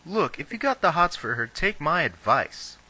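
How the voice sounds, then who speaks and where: A man's voice: a little high, sing-song, slow, pushed and bright, a little hiss or hum, heavily acted. A young man playing a cocky, gossipy, girl-crazy schoolboy in an amateur anime dub, talking in emphatic slang. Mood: gossipy, knowing.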